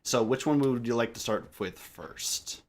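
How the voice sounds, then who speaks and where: A man's voice: medium pitch, a little slow. A man hosting a casual group chat, rambling, joking and often interrupting himself. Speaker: podcast host.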